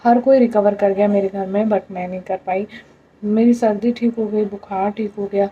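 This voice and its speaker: A young Indian woman vlogging to camera, hoarse from a throat infection, speaking in a tired, plaintive way and mixing in English words. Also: medium pitch, steady tone, moderate pace, muffled recording. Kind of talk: casual talk to camera.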